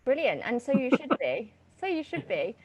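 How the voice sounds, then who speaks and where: A woman's voice: high-pitched, big pitch swings, normal speed. A woman hosting a casual interview, putting questions in an informal, conversational way. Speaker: interviewer, host.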